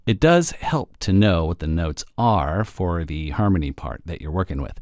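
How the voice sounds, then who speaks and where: A man giving an informal, wry screencast tutorial, thinking aloud as he demonstrates audio software. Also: fairly low pitch, no echo, studio quality. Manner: informal, wry, self-deprecating. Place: indoor close-miked voice-over, dry.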